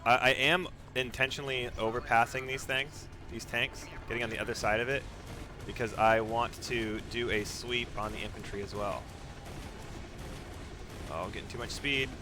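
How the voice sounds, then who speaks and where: A man's voice: mid-range voice, steady tone, normal speed, noisy background. A man giving live speedrun commentary as he plays, narrating his own moves, repeating phrases and using mild exclamations instead of swear words. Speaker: video game streamer and speedrunner.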